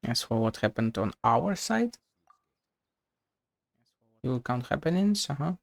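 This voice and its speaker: A man's voice: medium pitch, big pitch swings, unhurried, creaky, no echo. Sardonic man streaming a game. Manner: sardonic, sweary, musing aloud. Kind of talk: casual play-by-play game commentary. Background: faint game music.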